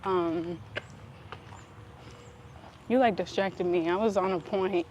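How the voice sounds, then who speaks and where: A woman speaking reflectively and conversationally as a vlog host, with deliberate, halting phrasing and emphatic pauses. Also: fairly low pitch, steady tone, a little mellow, dull audio. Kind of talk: reflective conversational talk while walking. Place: outdoors, walking up a paved hill. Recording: noisy outdoor recording with traffic.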